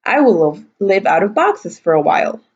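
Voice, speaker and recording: A woman's voice: mid-range voice, big pitch swings, moderate pace, slightly rough, muffled recording. A woman voicing a friendly grandmotherly neighbor lady in a storybook read-aloud.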